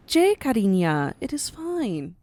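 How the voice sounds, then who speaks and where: A woman playing a poised, gracious yet dangerous mafia matriarch in an audio roleplay, soft-spoken, formal and composed. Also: a little high, big pitch swings, slow, no room sound, heavily acted. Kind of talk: scripted audio roleplay acting.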